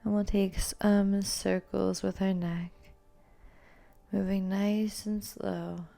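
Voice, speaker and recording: A woman yoga instructor giving calm, soothing, gentle cues as she guides a movement and meditation practice. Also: medium pitch, takes it slowly, vocal fry, soft background music.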